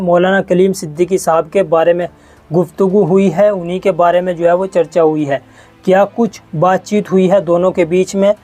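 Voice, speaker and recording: A man's voice: sits high, mellow. A man presenting news informally to viewers, explaining and rephrasing his points as he goes. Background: background music.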